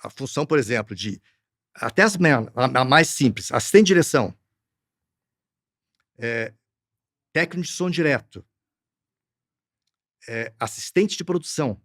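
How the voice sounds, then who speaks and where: Gravelly, hesitant Brazilian man. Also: mid-range voice, animated, unhurried, long pauses, fairly bright tone, no room sound, clean studio sound. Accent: Brazilian Portuguese. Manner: hesitant, restarts a lot, earnest. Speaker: guest, film producer. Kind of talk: casual interview answers.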